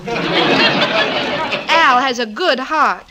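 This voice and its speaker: Very high-voiced, scatterbrained young woman. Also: drawn-out words, a crowd in the background. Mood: naive, earnest. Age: young adult. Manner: naive, earnest, guileless. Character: innocent, scatterbrained young woman, sweet and literal-minded. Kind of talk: 1940s radio sitcom acting. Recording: noisy 1940s radio recording with clicks.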